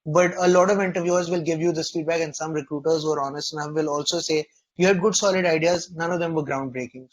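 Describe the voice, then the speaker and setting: A man's voice: on the high side, low-quality recording. A man working as an interview coach, giving articulate, constructive feedback in a conversational, explanatory way.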